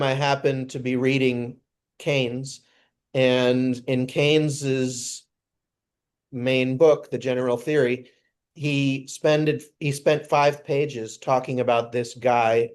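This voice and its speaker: A man from New York speaks slowly and evenly, his pitch barely moving. His voice is clear and smooth as he tells his story over a video call. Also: fairly even intonation. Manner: measured storytelling with soft filler sounds. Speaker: guest introducing himself. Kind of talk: casual video call conversation.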